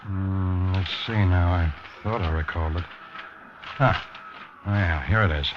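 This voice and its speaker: Obliging small-factory manager, low voice, folksy and musing, faintly noisy vintage radio recording. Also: male voice, steady tone, measured pace, lo-fi sound. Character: helpful, unhurried male small-factory manager checking records. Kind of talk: 1950s radio drama acting.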